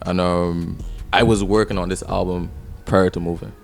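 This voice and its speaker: A man's voice: low voice, level tone, moderate pace, vocal fry, a little mellow. A young man, a rapper talking casually and reflectively as a podcast guest. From Barbados. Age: 20s. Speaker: rapper and songwriter interviewed as guest.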